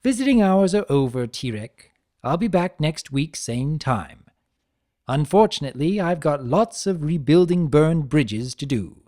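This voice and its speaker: A man voicing a theatrical chaos spirit for a dramatic story reading, taunting yet sympathetic, with emphatic, drawn-out phrases. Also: fairly high pitch, lively intonation, a little mellow. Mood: sly, friendly.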